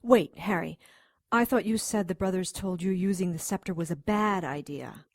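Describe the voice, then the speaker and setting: An actress playing a monster hunter's concerned, sensible companion in an audio drama, questioning and firm. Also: female voice, on the low side, moderate pace, no echo, clean studio sound.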